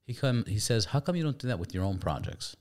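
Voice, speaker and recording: A man with a very low voice hosts a relaxed podcast conversation. He tells stories animatedly and drops the odd curse word, all in an easygoing, unscripted way. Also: fast. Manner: animated storyteller, swears casually. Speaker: podcast host. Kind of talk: casual chat.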